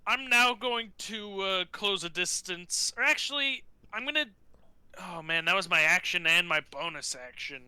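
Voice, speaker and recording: This is an excitable man with a high, very sharp voice at a tabletop game. He swears freely and thinks out loud, and the sound is clear and dry. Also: normal speed, no echo, rough recording. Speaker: player in a tabletop role-playing game. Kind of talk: casual game chat.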